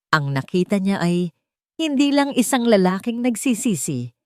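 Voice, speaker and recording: A woman's voice: on the low side, darkish tone, dry recording, studio quality. A woman reading a dramatic romance story as a scripted narration.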